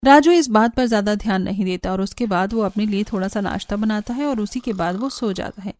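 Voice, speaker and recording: A woman's voice: mid-range voice, fairly quick, a little mellow. A woman reading scripted narration for a cartoon story.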